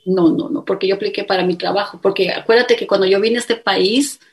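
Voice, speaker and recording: A woman's voice: mid-range voice, a little fast. A middle-aged woman with an Ecuadorian accent, earnestly telling her life story as an interview guest and acting out what others said to her. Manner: earnest, animated storytelling with quoted dialogue.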